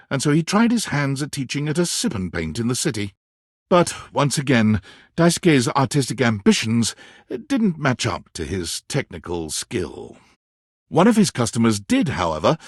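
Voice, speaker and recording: An actor playing a wry, dramatic male storyteller, narrating a romance game in a theatrical, expressive way. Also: mid-range voice, breathy edge, dry recording, studio quality. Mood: wry, playful. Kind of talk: scripted second-person narration for a dating game.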